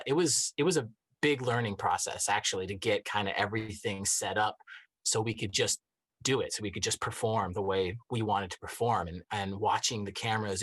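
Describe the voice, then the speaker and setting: Husky, lowish-voiced man. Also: fairly bright tone. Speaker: actor. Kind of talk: casual interview explanation.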